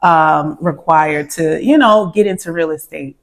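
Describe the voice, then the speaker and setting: A woman's voice: deep voice, unhurried. A woman hosting a casual interview, asking questions and encouraging with short affirmations. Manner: encouraging, lots of "mm-hmm" and "nice". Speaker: interviewer, host.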